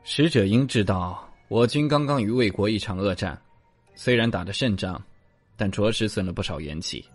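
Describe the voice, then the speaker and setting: A man playing a shrewd, courteous but indignant court strategist in an audio drama, speaking in formal, measured phrases. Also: lowish voice, husky, soft background music. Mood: measured, reluctant.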